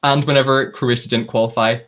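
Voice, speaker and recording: A man hosting a casual panel chat over a video call, opinionated and relaxed, laughing at himself now and then. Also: medium pitch, a little fast. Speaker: host.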